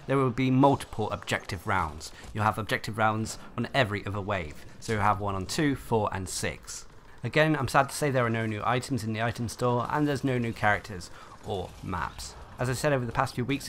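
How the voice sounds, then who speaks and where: A man's voice: medium pitch, a bit bright. Man narrating a gaming update, noisy background. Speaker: gaming channel host. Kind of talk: scripted informational video narration.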